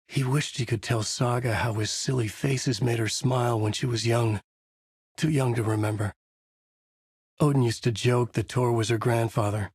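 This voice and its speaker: An actor playing a haunted, desperate horror writer, narrating in a brooding, intense noir style for a video game. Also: male voice, medium pitch, a little mellow, husky, dry recording, clean studio sound. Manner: brooding, intense, terse delivery. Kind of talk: dramatic first-person noir narration.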